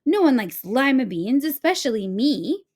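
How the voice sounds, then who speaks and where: A woman's voice: high-pitched, very animated, moderate pace, darkish tone, pitched above the performer's own voice. Lady doing a little storybook girl, very dramatic, voice jumps all over. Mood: disgusted. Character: expressive young schoolgirl who feels embarrassed and exasperated.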